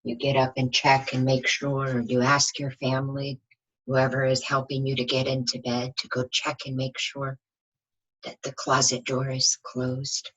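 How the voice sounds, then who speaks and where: A woman reading a picture book aloud to young children like a teacher, expressive, often asking the listeners questions. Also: a bass voice, unhurried, husky, highs cut off. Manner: asks the listeners questions, dramatic emphasis.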